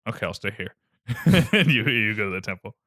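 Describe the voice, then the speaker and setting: A man's voice: lowish voice, sing-song, a little fast, a bit bright, dry recording, clean studio sound. A man voicing a lecherous blind old male seer who is pushy and rambling.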